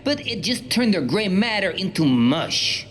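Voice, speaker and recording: A woman's voice: mid-range voice, sing-song, a little slow. A woman playing a vain, contemptuous female galactic despot in a campy sci-fi comedy sketch, pompous and whiny. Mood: scornful. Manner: pompous, disdainful.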